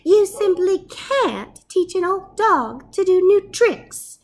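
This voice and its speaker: A woman's voice: very high-pitched, very animated, takes it slowly, voice pushed up, exaggerated voice. A woman voicing an earnest mouse professor in a storybook, explaining things in firm declarations. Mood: earnest, grave. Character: earnest mouse professor, a great scientific mind.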